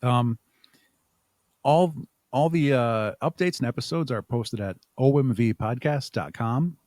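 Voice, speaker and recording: A man's voice: mid-range voice, unhurried, a little mellow, no room sound, studio quality. A man talking informally as an interview guest, hesitating and thinking aloud as he answers. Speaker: podcast guest, audio drama creator and podcaster. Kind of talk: casual interview chat.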